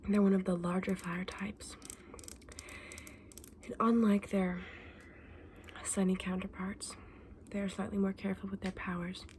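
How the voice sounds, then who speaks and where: A woman whispering breathily in a gentle, unhurried ASMR roleplay as a shopkeeper talking to a customer. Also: medium pitch, flat delivery, slight background noise. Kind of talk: whispered ASMR roleplay as a dragon egg saleswoman.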